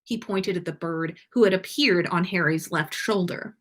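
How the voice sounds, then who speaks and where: A woman hosting and reading stories aloud live, with an enthusiastic, animated storytelling manner. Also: fairly low pitch, normal speed. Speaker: podcast host and story reader.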